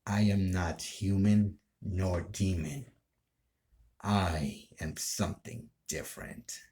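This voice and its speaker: A man playing a menacing, power-hungry anime villain who is neither human nor demon, speaking in a deliberate, ominous, taunting way. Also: deep voice, steady tone, dark tone. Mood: ominous, boastful. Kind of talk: anime villain role play.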